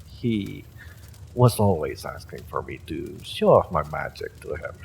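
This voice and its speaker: An actor playing an evil male sorcerer, smugly polite and sadistic, in a slow, theatrical audio drama full of menace. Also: very deep voice, creaky, darkish tone, a little hiss or hum, exaggerated voice. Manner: slow, deliberate, theatrical threatening delivery. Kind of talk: dramatic audio roleplay monologue.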